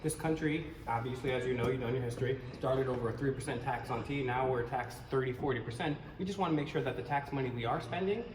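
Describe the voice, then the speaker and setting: A man's voice: medium pitch, fast, hardly any pauses. A man backing up a camera-wielding auditor who lectures an office staffer about taxes and surveillance. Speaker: fellow auditor. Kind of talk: argumentative questioning on camera. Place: indoor government office. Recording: echoey office with background noise.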